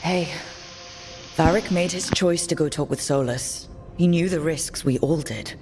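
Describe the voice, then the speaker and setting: An actor voicing a calm, wry elven leader in a fantasy video game, explaining things casually and steadily over music. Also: mid-range voice, unhurried, natural voice. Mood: easygoing. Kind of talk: video game cutscene dialogue.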